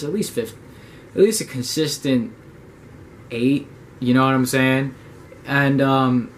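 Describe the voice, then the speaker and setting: A man's voice: a little high, a little hiss or hum. Casual, profane young man. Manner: loose, profane, slangy, with frequent "right?" checks. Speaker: motivational YouTuber. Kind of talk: casual motivational monologue.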